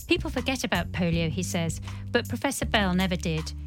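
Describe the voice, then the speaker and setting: A woman co-hosting an interview podcast, reading scripted lines and asking questions in a deliberate way. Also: fairly low pitch, expressive, over music. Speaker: podcast co-host.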